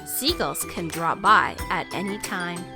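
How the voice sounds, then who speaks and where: A woman reads a picture book aloud for young children. She takes her time, with a highly animated pitch that rises and dips for emphasis. Children's music plays clearly behind her voice. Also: a little slow. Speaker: children's story reader.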